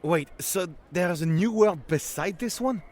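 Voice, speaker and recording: A naive young Frenchman from medieval times played by a male actor in an audiobook adventure. His voice sits on the high side for a man, baffled and skeptical in manner. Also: lively intonation, unhurried, a little mellow. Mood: baffled wonder. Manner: incredulous.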